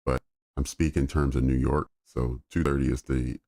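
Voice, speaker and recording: A man with a very deep voice streaming live, talking through trades in a relaxed way with fillers. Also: normal speed, no room sound, studio quality. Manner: relaxed, thinking aloud with fillers. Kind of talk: live trading commentary.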